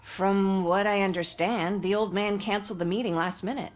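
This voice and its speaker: A breezy, cynical actress character voiced by a woman chats in a video game. Her medium-pitched voice is casual and wry, with a conversational ease. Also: fairly even intonation, moderate pace, close to an everyday voice.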